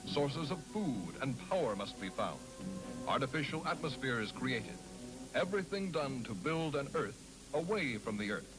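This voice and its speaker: A man's voice: mid-range voice, sing-song, moderate pace, over music, poor audio. A man giving declamatory narration in the style of an archival educational film.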